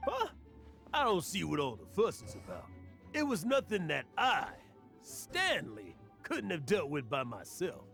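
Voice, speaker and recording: An actor playing a boastful, self-important adventurer in a game, with music behind. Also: male voice, high-pitched, wildly swooping pitch, a little slow, vocal fry, fairly bright tone, heavily acted. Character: boastful aging male adventurer.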